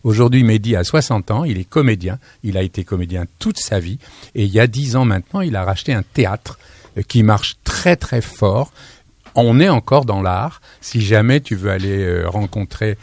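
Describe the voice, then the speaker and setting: A man's voice: lowish voice, a little mellow, no echo. A man hosting a radio show from a studio, chatty and exclamatory, wandering into asides as he presents and interviews his guests. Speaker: radio host. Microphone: studio broadcast microphone.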